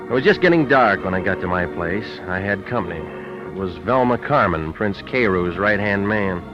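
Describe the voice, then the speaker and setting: An American man playing a tough, wisecracking private detective in an old radio drama, terse, deadpan and sardonic. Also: fairly low pitch, lightly acted. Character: tough wisecracking male private detective, hard-boiled and cool. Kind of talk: old-time radio detective acting with first-person narration. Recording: dull vintage radio recording with music.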